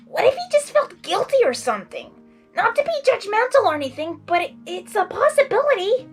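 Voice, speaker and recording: Woman as a blunt high-voiced tomboy pony. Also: sing-song, brisk, faint music behind. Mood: doubtful. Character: blunt, skeptical, tomboyish young female cartoon pony.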